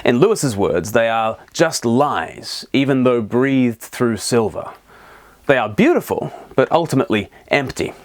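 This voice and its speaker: Man presenting with lively, animated intonation. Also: medium pitch, a little slow. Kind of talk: scripted narration to camera, explanatory.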